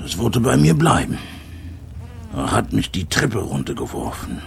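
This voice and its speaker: An actor playing a bitter old jazz trumpeter, broken and haunted, rambling and repeating himself in a German radio drama. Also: male voice, fairly low pitch, big pitch swings, unhurried, creaky, a little hiss or hum, full character voice. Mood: anguished, bitter.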